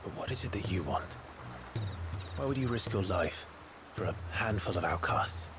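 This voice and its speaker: Brooding young game warrior, really low voice, curt n guarded, serious, music playing. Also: male voice, lively intonation, moderate pace. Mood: grim, determined. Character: terse, brooding young male warrior, grimly determined. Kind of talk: video game voice acting.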